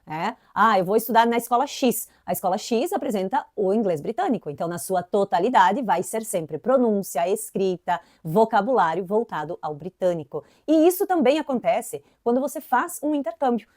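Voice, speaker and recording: A woman's voice: mid-range voice, moderate pace, hardly any pauses, dry recording, clean studio sound. A young woman from southern Brazil with an inland Santa Catarina accent, teaching an English lesson in a casual, chatty way, often tagging lines with "né". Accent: Santa Catarina inland Brazilian Portuguese. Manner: chatty, frequent "né" tags, lively emphasis.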